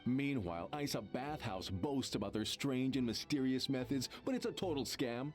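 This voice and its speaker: Man voicing a smug, animated true believer. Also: medium pitch, moderate pace, faint music behind, natural voice. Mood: scornful. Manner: condescending, confiding, emphatic. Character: smug, gossipy male true believer, condescending. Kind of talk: anime game voice acting.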